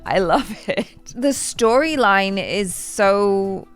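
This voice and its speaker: A woman's voice: mid-range voice, expressive, slow pace, clear, resonant tone, soft background music. A woman co-hosting a reaction show, commenting in an informal, conversational way. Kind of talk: casual commentary and chat.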